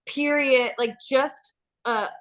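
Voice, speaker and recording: An animated female co-host with a slightly high voice, a bit nasal from being sick. She chats in a gossipy, lively way and laughs easily. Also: takes it slowly. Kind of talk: casual aftershow chat.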